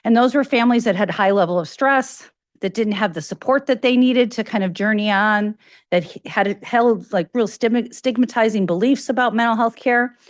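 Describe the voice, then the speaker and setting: A woman's voice: fairly high pitch, moderate pace, no room sound, clean studio sound. Woman with a slight Chicago accent lecturing. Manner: conversational, self-correcting, with frequent fillers. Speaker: university researcher giving a grand rounds lecture. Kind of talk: academic lecture.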